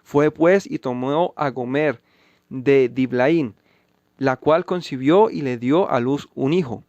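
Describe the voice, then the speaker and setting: A man reading Bible verses and giving scripted commentary, measured and emphatic. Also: medium pitch, a little mellow, no room sound, dull audio. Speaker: channel host refuting a pastor.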